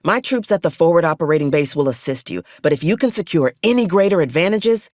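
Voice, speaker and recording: A woman's voice: lowish voice, expressive, fast talker, darkish tone, no echo, studio quality, lightly acted. Woman acting a wry, ruthless officer, swooping intonation. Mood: brisk, businesslike. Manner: clipped, folksy archaic military speech, sardonic and menacing. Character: ruthless, wry female military officer, cold pragmatic commander. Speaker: acquisitions officer character in a video game. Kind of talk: scripted video game character dialogue.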